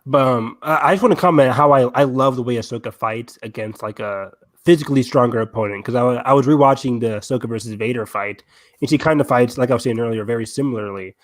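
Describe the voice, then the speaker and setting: Male podcast panelist, medium pitch, casual fan chat, close dry recording. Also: quick pace, no room sound.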